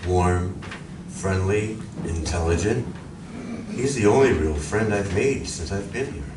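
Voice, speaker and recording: A man playing a calm, grave alien visitor in a live radio drama, speaking in a measured, formal and deliberate way. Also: low-pitched. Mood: polite, gentle. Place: library community room, live performance. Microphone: audience member's distant recorder. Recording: echoey room from far off, noisy.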